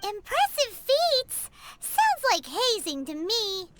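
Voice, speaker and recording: A woman voicing a tiny childlike fairy companion in a video game, squeaky, exclamatory and indignant. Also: wildly swooping pitch, takes it slowly, fairly bright tone, exaggerated voice. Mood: skeptical. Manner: squeaky, refers to self by name, exclamatory. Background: game music.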